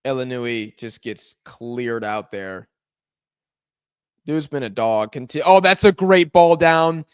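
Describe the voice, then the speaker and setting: A man hosting a live football watch-along, reacting excitedly to every chance and shouting at near misses, his voice going hoarse. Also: mid-range voice, slow, dry recording, clean studio sound. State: hoarse, losing voice.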